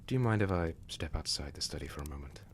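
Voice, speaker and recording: A man's voice: rumbling low, lively intonation, quick pace. A man playing a guilt-ridden, fatalistic man hunted by a monster, speaking in a formal, theatrical audio drama manner. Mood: resigned, haunted. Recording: faint background noise.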